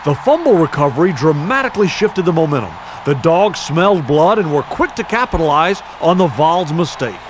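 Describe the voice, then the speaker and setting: A man narrates a sports documentary in a measured, scripted way, his voice slightly raised in pitch. Noticeable noise runs behind him throughout. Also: very animated.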